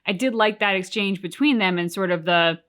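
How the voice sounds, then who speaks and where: Bright-voiced woman musing over game story. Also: medium pitch, fairly quick. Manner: thoughtful, analytical, with frequent "like" fillers. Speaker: co-host of a game reaction channel. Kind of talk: casual discussion reacting to game cutscenes.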